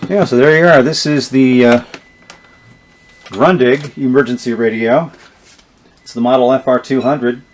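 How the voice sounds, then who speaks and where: A man giving a casual, unscripted gadget demonstration, thinking aloud in a low-key, hesitant way. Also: fairly even intonation, a little slow, pauses often. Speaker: vintage radio reviewer demonstrating gadgets. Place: indoors in a basement. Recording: noisy recording with radio static. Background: radio static and hiss.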